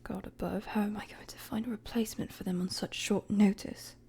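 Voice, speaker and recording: A woman playing a weary, guarded young queen in a dramatic audio roleplay, with a soft, breathy voice and measured, formal, hesitant phrasing. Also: medium pitch, steady tone, in a whisper. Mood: worried, resolute. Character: weary young queen, regal, guarded, firm with her friend.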